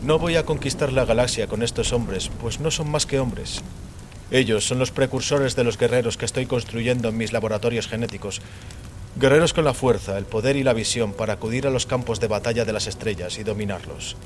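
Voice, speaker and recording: A man voicing a calm, imperious warrior emperor in dramatized audio fiction, solemn, commanding and utterly self-assured. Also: medium pitch, a little fast, natural voice. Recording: clearly noisy, rain behind voice. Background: rain.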